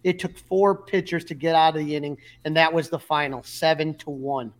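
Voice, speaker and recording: A man co-hosting a sports podcast, his voice sitting a little high for a man. He rambles through stories and game recaps in a loose, filler-heavy way. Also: steady tone, moderate pace. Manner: storytelling, lots of fillers. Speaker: podcast co-host. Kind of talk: casual sports chat.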